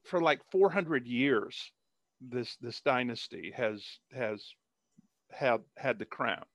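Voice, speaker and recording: Man, author, casual interview, rambling, lots of fillers, mid pitch, dry close sound. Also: slow, a bit bright, no room sound. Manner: rambling, many fillers and restarts.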